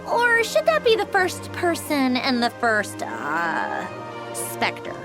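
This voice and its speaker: A woman's voice: high voice, huge pitch swings, takes it slowly, music in the background, exaggerated voice. A woman voicing a haughty young genius inventor in a video game, smug, theatrical and impatient. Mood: smug, boastful.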